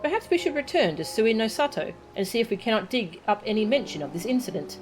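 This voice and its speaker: A woman's voice: a little high, big pitch swings, fast, faint music behind, rough recording. A woman voicing a polite, earnest young woman, reading her game lines in a formal, courteous way. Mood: grateful.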